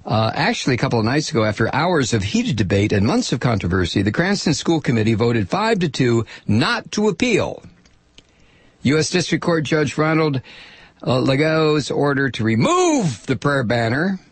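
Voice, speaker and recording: An American man hosting a political talk show in an opinionated, sarcastic and indignant monologue, with dramatic emphasis and a gravelly, husky voice. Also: big pitch swings, moderate pace, no echo. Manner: dramatic emphasis, swinging from low asides to shouts. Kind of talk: opinionated radio monologue, reading and commenting on listener mail and news.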